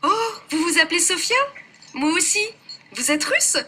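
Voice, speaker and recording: A woman's voice: very high-pitched, expressive, moderate pace, sharp tone, heavily acted. A woman voicing an adult woman in a scripted textbook dialogue, chatty and friendly.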